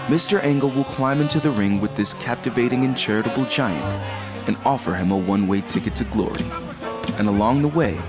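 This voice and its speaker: A man narrates in a low, measured voice with an ominous, theatrical tone. Loud music swells beneath his scripted storytelling.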